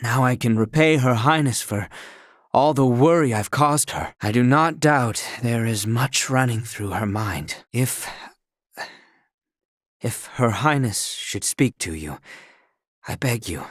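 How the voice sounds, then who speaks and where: A man's voice: mid-range voice, takes it slowly, dry recording, studio quality. A man voicing a devoted, earnest young knight bodyguard in a fantasy video game, speaking in formal, archaic, courtly phrases. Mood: earnest, subdued. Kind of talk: scripted fantasy game acting.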